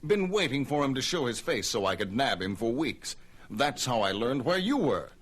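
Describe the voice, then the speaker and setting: A man playing a resolute superhero and newsman in a cartoon, calm, firm and authoritative. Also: mid-range voice, normal speed. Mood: firm, dutiful. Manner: firm, declarative.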